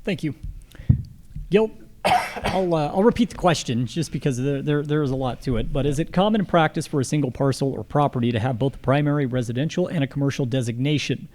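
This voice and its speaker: A man's voice: mid-range voice, a little fast, darkish tone. A man with an American accent moderating a candidate forum and reading out questions.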